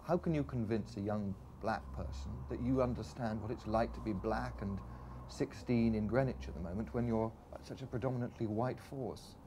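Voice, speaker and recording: A man's voice: fairly low pitch, normal speed, few pauses, background noise. A man asking challenging questions as a television news interviewer.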